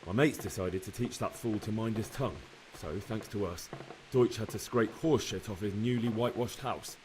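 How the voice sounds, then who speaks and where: An actor playing a young blacksmith's son in a video game, earnestly and sorrowfully recounting a massacre. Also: male voice, fairly low pitch, moderate pace, a little mellow, slight background noise, natural voice, rough recording. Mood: rueful recounting. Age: young adult. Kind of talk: dramatic game cutscene acting.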